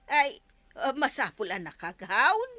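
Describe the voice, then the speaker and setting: A woman playing a reluctant, complaining older village mother in a radio comedy drama, whiny and drawn-out, with an Ilocano accent. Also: high-pitched, sharp tone, no room sound, heavily acted.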